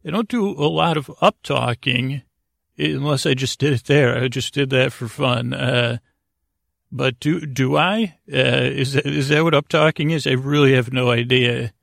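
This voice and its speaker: Creaky-voiced man rambling soothingly. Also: medium pitch, fairly bright tone, no room sound, clean studio sound. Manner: meandering, halting, repetitive, self-interrupting; lulling, soothing. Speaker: podcast host. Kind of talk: rambling, improvised bedtime podcast monologue.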